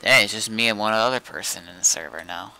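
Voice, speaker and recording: A man's voice: lowish voice, fast, vocal fry, background noise. A man chatting casually and muttering to himself as he plays a game on stream. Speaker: streamer playing a zombie survival game. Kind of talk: casual gaming chat.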